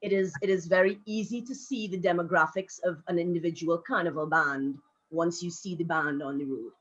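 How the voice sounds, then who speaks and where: A woman, speaking as a panelist in a live discussion in a frank, reflective, measured way. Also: lowish voice, steady tone, a bit bright. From Trinidad and Tobago. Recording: dull lo-fi audio.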